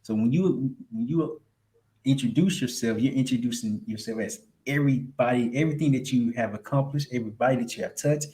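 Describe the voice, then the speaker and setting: Reflective male podcast host, medium pitch. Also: fairly quick, mellow, low-quality recording. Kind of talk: conversational interviewing.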